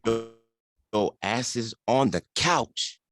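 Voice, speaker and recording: Man acting a menacing gunman, swooping pitch. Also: animated, slow, fairly bright tone, no room sound, studio quality. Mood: menacing, impatient. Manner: threatening, demanding. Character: menacing gunman holding women hostage. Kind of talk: dramatic table read.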